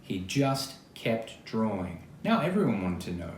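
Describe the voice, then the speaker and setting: Expressive man reading a storybook, lively pitch. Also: measured pace. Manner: expressive, acts out quoted lines, chatty asides. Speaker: storybook reader. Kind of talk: read-aloud of a children's picture book with asides. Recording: roomy echo, faint background noise.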